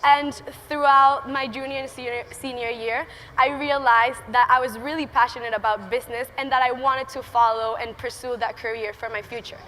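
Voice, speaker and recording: Eighteen-year-old woman, Venezuelan accent, high bright voice, nervous and giggly, reverberant hall. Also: steady tone, normal speed, slight background noise. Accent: Venezuelan Spanish. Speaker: student entrepreneur speaker. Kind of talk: prepared conference talk. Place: large conference hall stage. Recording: reverberant hall with faint ambience.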